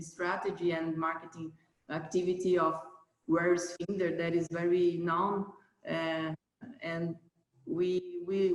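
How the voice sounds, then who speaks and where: A Brazilian woman, a native Portuguese speaker, answering interview questions about a company in halting English, searching for words. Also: fairly low pitch, roomy sound, a little hiss or hum, poor audio. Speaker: company manager being interviewed.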